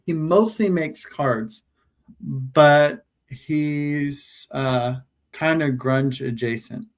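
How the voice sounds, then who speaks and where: Slow, hesitant craft stream host. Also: mid-range voice. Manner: slow, hesitant, many ums. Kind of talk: casual chat.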